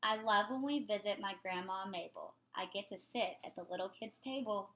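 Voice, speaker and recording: A woman reading a children's picture book aloud in an expressive, playful way. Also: medium pitch, normal speed, a little hiss or hum.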